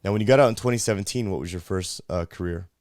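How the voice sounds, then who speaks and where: A man in his thirties hosting a podcast interview, asking measured, affirming questions. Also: deep voice, darkish tone, dry recording, clean studio sound. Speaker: podcast host. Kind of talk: conversational interview.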